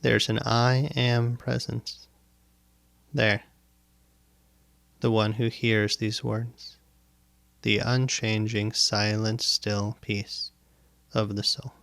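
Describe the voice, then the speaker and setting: A man guiding a meditation as a teacher, speaking in deliberate, instructive phrases with pauses and repetition, close to the microphone. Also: fairly low pitch, level tone, vocal fry, a bit bright, dry recording. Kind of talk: guided meditation, spoken instruction. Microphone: close microphone, dry sound.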